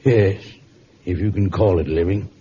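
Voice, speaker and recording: A man playing a very mellow, measured judge. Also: sits low, lightly acted. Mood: somber, reluctant. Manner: measured, reflective, gentlemanly. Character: genial, measured male judge with somber reticence. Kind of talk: vintage radio drama acting. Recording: muffled, hissy old radio recording.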